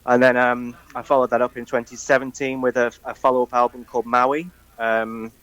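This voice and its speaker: Hesitant, flat-voiced English man. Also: medium pitch, brisk, clear, resonant tone, dry recording, muffled recording. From northwest England. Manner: hesitant, soft-spoken, many ums. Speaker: musician guest. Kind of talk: phone-in radio interview.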